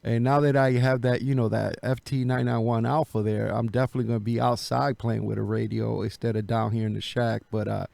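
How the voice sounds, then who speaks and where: A man from the United States, an amateur radio operator, chatting in an easygoing, halting way from his home radio room. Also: medium pitch, little pitch movement, creaky, a little mellow, dry recording. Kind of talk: casual radio chat.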